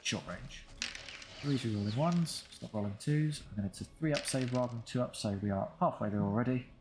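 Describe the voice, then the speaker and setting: A man's voice: mid-range voice, brisk, a little mellow. A man giving casual, understated commentary on his strategy game playthrough, thinking aloud and dryly talking to his units. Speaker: gaming video creator commentating his own playthrough.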